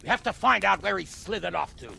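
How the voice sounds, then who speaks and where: An actor playing a gruff, hot-tempered older lord in a medieval video game, blustering and commanding in a coarse way. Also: male voice, high-pitched, animated, fast, pushed and bright, slight background noise, heavily acted. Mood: angry, commanding. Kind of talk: video game cutscene acting.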